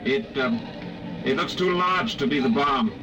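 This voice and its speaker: A man playing an uneasy, superstitious navy technician who talks haltingly, acted in 1950s radio drama style on an old muffled recording. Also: mid-range voice, distant mic, over music, natural voice. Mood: focused. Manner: hesitant, halting with uh's.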